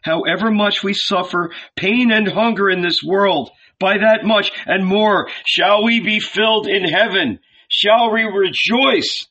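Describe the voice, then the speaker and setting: A fervent male prophet pleads with a crowd in a high, ringing voice, as a male narrator puts him on. He stretches out slow, bright, urgent phrases. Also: muffled recording, exaggerated voice. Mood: imploring. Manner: fervent, imploring, raised voice. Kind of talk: audiobook character voice.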